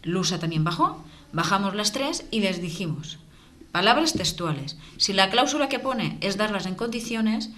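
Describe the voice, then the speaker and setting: A woman's voice: mid-range voice, animated, moderate pace, fairly bright tone. A woman with a Valencian accent, a town councillor speaking earnestly and with indignation in a local TV debate. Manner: earnest, indignant, keeps explaining.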